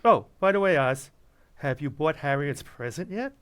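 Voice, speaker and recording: An eager, impish neighbor out of a radio comedy, played by a man. His voice bounces around in pitch, full of chummy, playful teasing. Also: animated, moderate pace, fairly bright tone, clean tone. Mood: chatty. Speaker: actor in community radio theater. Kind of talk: old-time radio sitcom acting.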